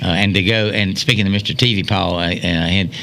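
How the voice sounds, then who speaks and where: An older man with a fairly low voice speaks as an interview guest. He reminisces casually, with a folksy, plain-spoken manner. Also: steady tone, a little fast, vocal fry, a bit bright, a little hiss or hum. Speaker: interview guest, Rotary club member.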